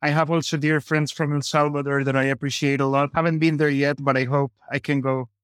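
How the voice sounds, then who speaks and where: Clear-voiced man with Latin American Spanish accent. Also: a little high, flat delivery, a little fast, no room sound, clean studio sound. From Colombia, grew up in Costa Rica. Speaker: podcast guest, company founder. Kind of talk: casual interview conversation.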